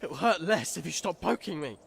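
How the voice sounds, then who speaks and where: A man's voice: on the high side, animated, brisk, creaky, breathy edge, exaggerated voice. An actor playing a wary, grumbling teenage boy wizard who is hurt and in pain.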